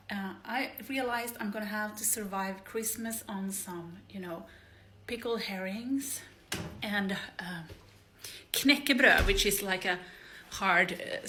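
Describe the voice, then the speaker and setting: A woman in her forties from Finland talks in a slow, unhurried ramble, full of "uh" and "like" and wry little asides. Her voice sits at a middle pitch. The room around her is echoey. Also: pushed and bright, slight background noise. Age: 40s. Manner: rambling, frequent fillers and restarts, wry asides. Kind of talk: casual personal monologue.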